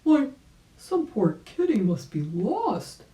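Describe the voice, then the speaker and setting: A woman voicing an expressive old gentleman rabbit, echoey room. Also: a little high, takes it slowly, voice pushed up. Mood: kindly, curious. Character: old gentleman rabbit, rheumatic, mild and determined traveler. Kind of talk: children's storybook character reading. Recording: roomy, echoing sound.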